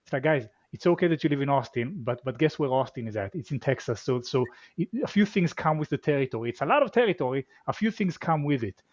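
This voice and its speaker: A man's voice: medium pitch, expressive, quick pace, dry recording, dull audio. A man with an Israeli accent, a guest in a casual interview who talks reflectively and often repeats his words. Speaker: venture capital guest.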